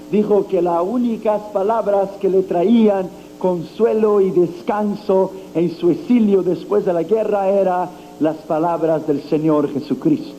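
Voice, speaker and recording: A man's voice: sits high, mellow, rough recording. A man preaching a sermon to a congregation in with a slight tinge, declaiming with emphatic, rising exclamations. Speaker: evangelist preacher. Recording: old recording with faint hiss.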